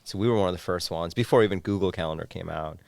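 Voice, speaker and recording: Deep-voiced man with a smooth tone. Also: rapid-fire, no room sound. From Bangladesh. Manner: relaxed storytelling, chuckles at own stories. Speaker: guest, SaaS founder. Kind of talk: podcast interview. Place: studio couch.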